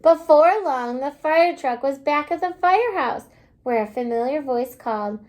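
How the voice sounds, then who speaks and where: A woman kindergarten teacher reading a storybook aloud to young children in an exaggerated, child-directed way, pausing to ask simple questions. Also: high voice, expressive, a little mellow. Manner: asks simple questions, pausing as if listeners answer.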